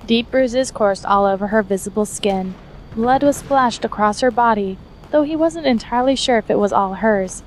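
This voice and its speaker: A woman's voice: a little high, normal speed, a little hiss or hum, low-quality recording. A woman reading scripted fiction aloud as the narrator of an action fantasy story.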